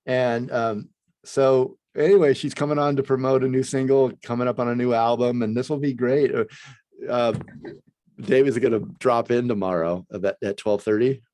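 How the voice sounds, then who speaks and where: A man's voice: medium pitch, steady tone, moderate pace, darkish tone, no echo. Chatty male host, mid voice, dry close sound, keeps restarting his sentences. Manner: enthusiastic, rambling, many restarts. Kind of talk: casual chat.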